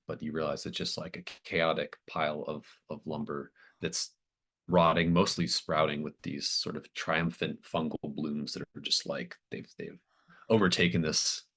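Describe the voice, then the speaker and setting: A man working as game master, improvising horror scene descriptions in a halting, thoughtful way. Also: rumbling low, fairly bright tone.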